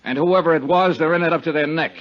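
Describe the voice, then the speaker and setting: A man's voice: a little high, fast, close to an everyday voice. A man playing a tough, wry insurance investigator in a 1950s radio drama, speaking and narrating in a hard-boiled manner. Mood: accusing. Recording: muffled 1950s radio recording with music.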